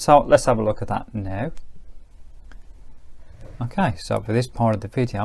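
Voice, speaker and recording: A man's voice: mid-range voice, creaky, darkish tone. A man giving a casual hands-on product review in a methodical, explanatory manner. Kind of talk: casual hands-on gadget demonstration.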